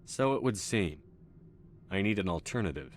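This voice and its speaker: A man's voice: sits low, lively intonation, normal speed, a little hiss or hum. An actor playing a firm, no-nonsense male military commander in a video game, direct and controlled. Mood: firm, calm.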